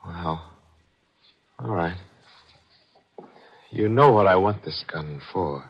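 A very low-voiced, coldly calculating murderer, telling and living his crime in clipped, deliberate phrases. It is a 1940s radio thriller with muffled vintage sound. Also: animated, breathy edge, exaggerated voice. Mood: guarded. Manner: deliberate, clipped, menacing narration and dialogue. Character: cold, calculating newspaper columnist turned murderer.

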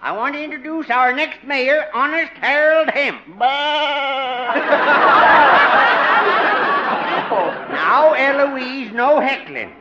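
A jolly, high-pitched folksy doc. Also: male voice, a little slow, a loud crowd. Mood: merry, teasing. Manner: excitable, folksy, self-satisfied, chuckling. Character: jolly, chuckling small-town doctor and scheming campaign manager. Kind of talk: 1950s radio comedy acting. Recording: muffled, faintly noisy old radio broadcast.

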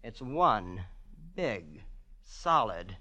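A solemn man in a mid-century radio drama. He speaks with slow weight and sweeping changes of pitch. The sound is dull and old. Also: very animated, sharp tone, exaggerated voice. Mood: grand, weighty. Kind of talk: 1940s-50s American radio drama acting. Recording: muffled old radio recording.

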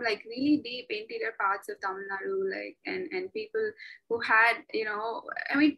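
A woman's voice: a little high, sharp tone, rough recording. A young woman in her twenties from Chennai, chatting in an interview about her law studies with many fillers and restarts. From Chennai, India. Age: 20s. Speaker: interview guest, law graduate. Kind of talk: casual interview talk.

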